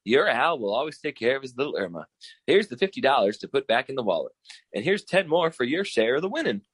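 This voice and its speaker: A man's voice: medium pitch, very animated, fast talker, slightly rough, no room sound. A man playing a slick, shady gambler boyfriend with swagger in a 1940s-style radio comedy. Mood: smug reassurance.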